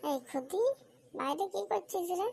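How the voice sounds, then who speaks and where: A woman's voice: very high-pitched, normal speed, gravelly, a little mellow. A woman voicing a naive, curious young son in a comic cartoon, with a childlike questioning manner. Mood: wary, chatty. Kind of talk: amateur comic cartoon dubbing.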